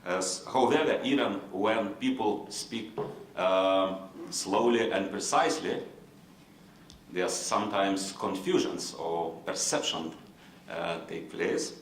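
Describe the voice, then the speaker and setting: A low-voiced man with a Lithuanian accent moderates a conference panel in formal, halting English, stopping often on fillers. The room sound is roomy, with a faint hiss underneath.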